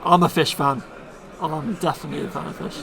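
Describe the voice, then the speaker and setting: A man's voice: on the high side, steady tone, brisk, creaky, crowd noise. A man from Bristol doing upbeat casual commentary on a card game stream, reading card text aloud. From Bristol, England.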